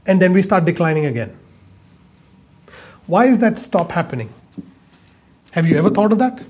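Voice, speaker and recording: A man preaching a sermon, emphatic, repeating phrases and drawing out key words slowly. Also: sits high, big pitch swings, a little mellow, slightly rough.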